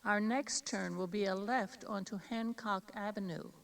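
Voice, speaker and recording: A woman reading scripted driving directions as a tour guide, in a measured, instructional way. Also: medium pitch, gravelly. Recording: 1980s cassette tape, slightly distant mic.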